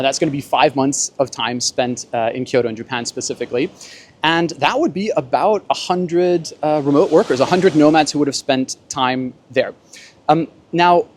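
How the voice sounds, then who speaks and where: A man's voice: medium pitch, slight background noise. A man from Germany and Bolivia hosting a panel, talking in a casual, explanatory way. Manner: conversational, explanatory. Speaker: host, panel moderator.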